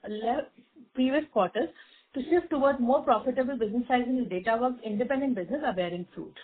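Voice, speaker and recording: Female executive, Indian accent, slightly high and husky voice, formal scripted results read over a narrow phone line. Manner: formal, reading figures with stumbles. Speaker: company executive presenting results. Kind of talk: scripted financial report on earnings call. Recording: tinny, band-limited conference phone line.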